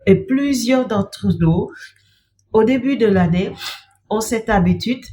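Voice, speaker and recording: Slow-speaking woman with a cold. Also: on the high side, a little hiss or hum. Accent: French. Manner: slow, gentle, repeats "bien-aimé" and pauses with "euh". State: has a cold. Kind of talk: devotional talk to camera.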